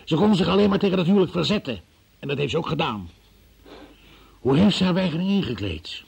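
An actor playing a relentless, methodical and sceptical male interrogator in a radio play, with a gravelly, husky voice. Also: on the high side, expressive, fast talker, a little mellow, full character voice. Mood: methodical, insistent. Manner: insistent, probing, methodical, sceptical. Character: relentless male interrogator questioning a young murder witness. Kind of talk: Dutch radio play acting.

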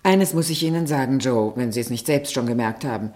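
Vintage radio drama, candid female confidante, composed, direct, quietly reproachful and firm. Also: very deep voice, brisk. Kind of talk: 1960s German radio play acting.

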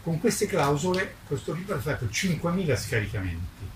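An older man, over sixty, a publisher speaking in an impassioned, declamatory way at a public presentation, sometimes halting and repeating himself. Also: a little high, very animated. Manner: emphatic, declamatory, with halting repetitions. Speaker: book publisher. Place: book presentation before an audience, recorded from a distance. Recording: roomy, distant mic with clear background noise.

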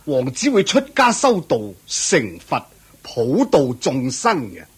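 A man playing a humble, solemn elderly holy seer in a radio drama, grave and deliberate. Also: medium pitch, huge pitch swings, husky, full character voice. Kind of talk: Cantonese radio drama acting.

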